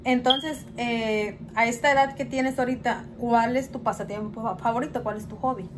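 A woman's voice: fairly high pitch, fairly even intonation, normal speed, slight background noise. A young woman reading interview questions from her phone in a home vlog and giving brief prompts. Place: small indoor room, plain wall.

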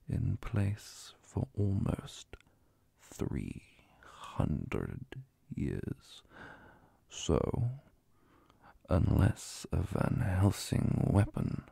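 A calm, authoritative vampire inquisitor acted out by a man for an ASMR roleplay. The delivery is extremely slow and deliberate, soft and formal, with a dark tone and heavy vocal fry. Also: low voice, no echo, heavily acted. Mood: grave, authoritative. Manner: slow, deliberate, measured questioning.

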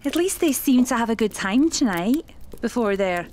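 A chatty, quietly sinister lady medium , acted by a woman in a horror audio drama. Her voice is high and very lively, fussy and theatrical as she muses to herself, with faint noise behind. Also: moderate pace, natural voice. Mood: fussy, awkward. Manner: theatrical, exclamatory, musing to herself.

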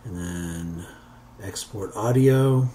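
Low-voiced man giving a halting tutorial. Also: dark tone. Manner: halting, thinking aloud while working. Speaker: tutorial presenter. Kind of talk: unscripted how-to software demonstration. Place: indoors, beside a computer. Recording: homemade recording with background noise.

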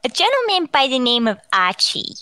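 A woman plays a brainy young boy in an old-time radio comedy. The voice is high and bright, with polite, very proper diction and lively swings in pitch. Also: normal speed, no echo, studio quality, heavily acted. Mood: polite, earnest. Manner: proper, articulate, bookish diction. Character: precocious, polite, bookish eleven-year-old boy.

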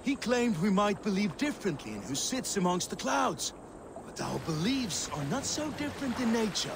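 An actor playing a curious, earnest Viking warrior who tells a story in a musing, deliberate way in a video game. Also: male voice, high-pitched, very animated, creaky, heavily acted. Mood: reflective, wondering. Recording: water and boat noise behind. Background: lapping water, boat ambience.

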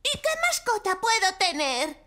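A woman's voice: extremely high pitch, unhurried, heavily acted. A woman voicing an eager, curious young girl in a cartoon dub. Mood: pleading. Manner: eager, excitable.

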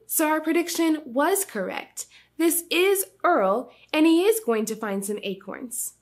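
Storytime host: young woman, high voice, unhurried pace, teacherly. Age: young adult. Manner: expressive, teacherly, prompting predictions. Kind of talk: children's read-aloud with lesson commentary.

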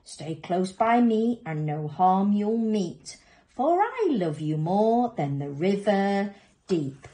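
Woman playing a commanding, unhurried mother crocodile. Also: medium pitch, expressive, dark tone. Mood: tender, protective. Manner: commanding, declamatory. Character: fierce, proud, protective mother crocodile. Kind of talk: children's storybook character voice.

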